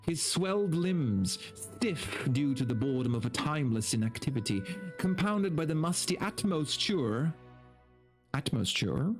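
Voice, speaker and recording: A man giving a dramatic, deliberate story reading, dropping into casual wry asides. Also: a little high. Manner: theatrical, deliberate, breaks off into casual wry remarks. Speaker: streamer reading aloud.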